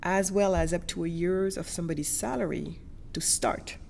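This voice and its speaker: Low-voiced woman from Senegal, noisy background. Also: fairly even intonation, normal speed, vocal fry, a little mellow. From Senegal, later Germany and France. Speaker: interview guest, entrepreneur. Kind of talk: personal storytelling in an interview.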